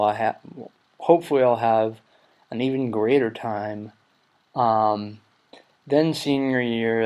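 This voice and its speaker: Young male podcast host, twenties, low-energy rambler, mid-pitched voice. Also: darkish tone. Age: 20s. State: recovering from a cold. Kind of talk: casual solo podcast chat.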